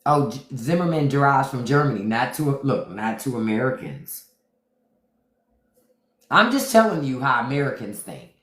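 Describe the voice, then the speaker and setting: A woman's voice: very deep voice, sing-song, moderate pace, echoey room. A woman hosting a casual livestream chat, emphatic, repeating her points and reading viewer comments aloud. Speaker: podcast host. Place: home room on a livestream.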